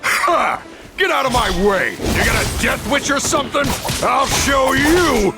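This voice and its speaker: An actor voicing a blustering gang boss of thieves in a video game, brash and theatrical but cowardly when cornered. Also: male voice, extremely high pitch, very animated, a little slow, music in the background, full character voice. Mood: cocky, aggressive. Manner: brash, theatrical, stammering under pressure. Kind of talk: exaggerated video game voice acting.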